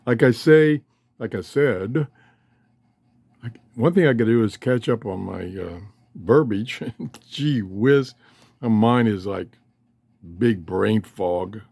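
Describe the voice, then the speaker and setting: A man's voice: on the low side, takes it slowly, vocal fry, pauses often, darkish tone, dry recording, studio quality. An older man talking casually in a folksy, rambling way, often interrupting himself as he hosts a hobby vlog. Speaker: host of a shortwave radio hobby channel. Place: cluttered room downstairs at home. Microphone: handheld cell phone.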